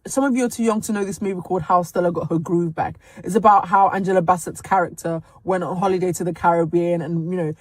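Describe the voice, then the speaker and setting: A woman's voice: fairly low pitch, fairly even intonation, fast talker, few pauses, a little mellow, no echo. A woman hosting reaction commentary who breaks things down in a sassy, emphatic way. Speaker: commentary host.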